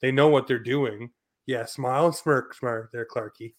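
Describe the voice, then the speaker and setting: A man's voice: medium pitch, fairly even intonation, normal speed. Blunt man talking sports casually. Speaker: sports podcast host. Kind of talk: casual opinionated sports talk.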